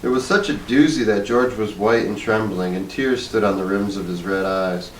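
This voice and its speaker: A man reading a short story aloud as an expressive narrator. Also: fairly low pitch, moderate pace. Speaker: story reader. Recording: echoey room, distant mic, faint noise.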